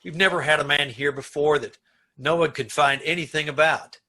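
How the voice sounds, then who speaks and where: Bright, forceful, earnest prison warden played by a man. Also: on the high side, fairly even intonation, a little fast. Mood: baffled. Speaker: amateur radio-troupe actor. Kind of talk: old-time radio play acting.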